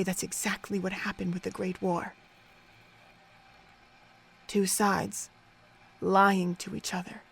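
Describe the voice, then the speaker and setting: A woman playing a cold, scornful female pony overseer in an audio drama, speaking in deliberate, contemptuous monologue. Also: mid-range voice, slightly rough. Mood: scornful, intense.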